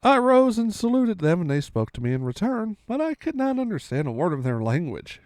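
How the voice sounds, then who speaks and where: A man's voice: high voice, big pitch swings, a little fast, darkish tone, dry recording, clean studio sound. A man reading a storybook aloud with dramatic, theatrical delivery, sometimes stumbling and correcting himself.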